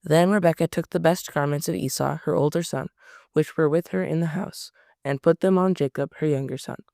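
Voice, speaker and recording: A man reading scripture aloud at a steady pace, often stumbling over words and correcting himself. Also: fairly high pitch, a little fast, vocal fry, dry recording, clean studio sound. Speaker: Bible reader.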